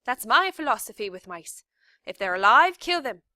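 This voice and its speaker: A woman voicing a loud, bossy, brutal cook who shouts harshly and talks coarsely. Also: sits high, big pitch swings, measured pace, dry recording, clean studio sound, voice pushed up, full character voice.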